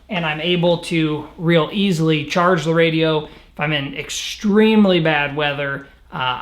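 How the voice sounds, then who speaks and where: A man with a fairly high voice talks through an outdoor gear review in a casual, explanatory way. He sounds conversational and matter-of-fact. The recording is clearly roomy, with echo around his words. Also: fairly bright tone. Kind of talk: casual product review to camera.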